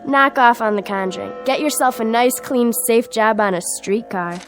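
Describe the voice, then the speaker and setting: A woman's voice: a little high, moderate pace, a bit bright, soft background music, lightly acted. An actress playing a wisecracking, sceptical young woman with a blunt, sardonic manner.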